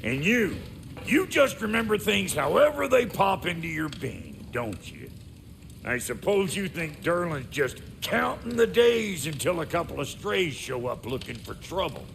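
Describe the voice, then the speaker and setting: Game dwarf smith: gruff folksy American, gravelly, highish and very animated, cranky teaser. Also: male voice, measured pace, slight background noise, exaggerated voice. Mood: grumbling. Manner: gruff, teasing, folksy backwoods grammar. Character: cranky, foul-mouthed folksy male dwarf blacksmith. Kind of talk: video game character acting.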